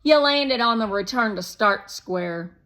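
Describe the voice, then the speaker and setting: A loud, pushy young boy, voiced by a woman reading a storybook. The voice jumps up high and bright with excitement. He sounds self-assured and boastful, as if every game is his to run. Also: measured pace. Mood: bossy, breezy. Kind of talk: children's storybook character voice.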